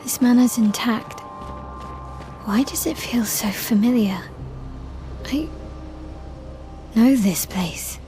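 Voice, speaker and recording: A woman's voice: a little high, slow, long pauses. An actress playing a determined young woman adventurer in a naturalistic, dramatic video game performance. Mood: uneasy wonder. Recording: loud music behind the voice.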